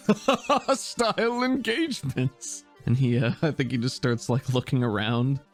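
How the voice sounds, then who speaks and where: A man's voice: medium pitch, lively intonation, unhurried, faint music behind. A man narrating as a laid-back, easygoing game master.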